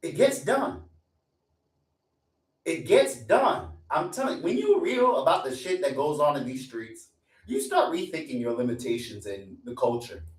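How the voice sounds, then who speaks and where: A man's voice: on the high side, very animated, a little slow, recorded from a distance. A man in his twenties hosting a live stream in a casual, profane rant that repeats lines for emphasis. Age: 20s. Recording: echoey room, away from mic.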